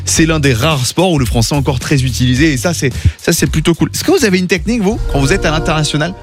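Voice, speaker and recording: A man's voice: on the high side, fast talker. Upbeat sing-song radio host man. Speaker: radio morning show host. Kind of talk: lively radio chat and quiz hosting. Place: radio studio. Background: faint music.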